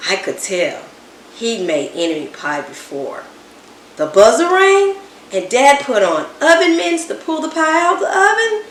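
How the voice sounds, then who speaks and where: Animated woman reading a story, roomy echo. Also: fairly high pitch. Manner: animated, dramatic emphasis with hushed asides. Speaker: storybook reader. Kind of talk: expressive read-aloud of a children's story. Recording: roomy echo, distant mic, background noise.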